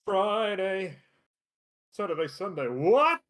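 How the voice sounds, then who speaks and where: A man co-hosting a game stream with casual, animated reactions and exclamations. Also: high voice, slow pace.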